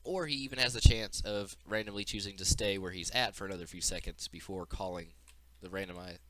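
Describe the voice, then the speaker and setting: A man's voice: sits low, fairly even intonation, brisk, a bit bright, a little hiss or hum. A man giving an informal, unscripted walkthrough of a game demo as its developer, explaining as he goes, with audible breathing into the mic.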